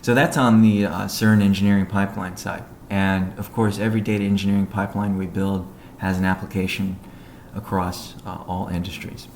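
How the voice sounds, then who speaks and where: A man giving a business briefing on a conference call as a company executive, in a measured, halting way with technical jargon. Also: deep voice, little pitch movement, darkish tone. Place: conference call, echoey room. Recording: echoey room, faint background noise.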